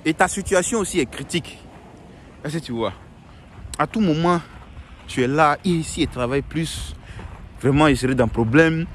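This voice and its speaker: A man's voice: on the high side, normal speed, long pauses. Earnest young man outdoors by traffic. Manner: earnest, repetitive, with many restarts and fillers. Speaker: vlogger giving advice. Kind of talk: casual advice monologue.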